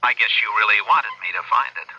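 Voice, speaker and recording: A tense, hurt husband. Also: male voice, lowish voice, fast, pushed and bright, slightly rough, no echo, full character voice. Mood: wounded, accusing. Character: hurt, pleading, forgiving husband, earnest. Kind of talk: old-time radio drama acting. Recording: muffled vintage radio recording.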